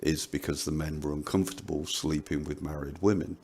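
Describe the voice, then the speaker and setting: A man discussing and commenting in a casual, halting way. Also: a bass voice, fairly even intonation, a little mellow, slightly rough. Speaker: panelist on a commentary show.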